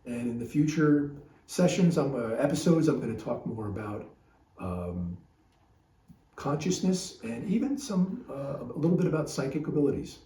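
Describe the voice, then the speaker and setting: A man gives a reflective spiritual talk as a podcast host, his voice relaxed and dark in tone. He rambles and halts as he goes. The recording is roomy and a little distant from the mic, with faint guitar music. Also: a little high, normal speed, slight background noise.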